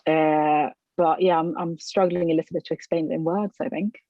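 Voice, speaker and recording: A middle-aged woman from Sweden, a potter answering interview questions thoughtfully, with frequent pauses, fillers and restarts. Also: low voice, steady tone, warm tone, no echo, muffled recording. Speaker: ceramic artist, interviewee.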